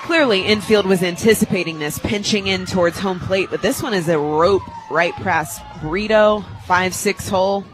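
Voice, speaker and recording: An American woman giving live, conversational softball analysis as a radio color commentator. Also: mid-range voice, moderate pace, few pauses, over music, poor audio. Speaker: color commentator, former player. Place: stadium radio booth.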